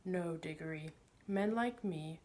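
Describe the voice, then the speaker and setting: A woman's voice: on the low side, unhurried, dark tone, close to an everyday voice. A woman voicing a pompous, sly older male magician in a storybook, smug and condescending, with a wheedling manner.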